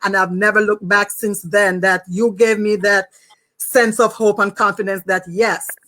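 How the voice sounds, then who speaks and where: A woman's voice: medium pitch, flat delivery, a little slow, sharp tone. A woman with a Jamaican accent, speaking as the host of an online talk show.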